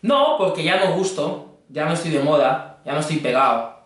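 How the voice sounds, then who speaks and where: A man's voice: fairly high pitch, animated, normal speed. Young guy just chatting on a phone call, super echoey, kinda far from the mic. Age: young adult. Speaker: prank caller, fitness trainer. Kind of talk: casual prank phone call chat. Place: indoor green screen studio. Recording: very echoey room, distant mic.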